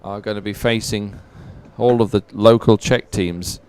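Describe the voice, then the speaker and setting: A man's voice: on the low side, steady tone, a little slow, darkish tone, smooth. A man giving live, unscripted sports commentary in a chatty, hesitant, explanatory way.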